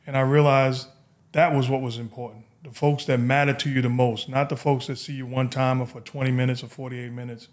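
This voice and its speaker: A middle-aged man with a New York accent, hosting a casual podcast chat and telling stories in a relaxed, reflective way from a high-rise studio on a boom mic. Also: fairly quick. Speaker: podcast host. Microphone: studio mic on boom arm.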